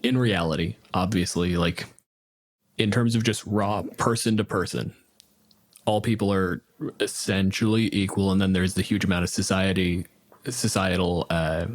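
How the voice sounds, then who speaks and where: A man with a lower voice talks bluntly on a podcast, and he sounds exasperated. He swears freely to drive his points home. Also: normal speed. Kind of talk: casual chat.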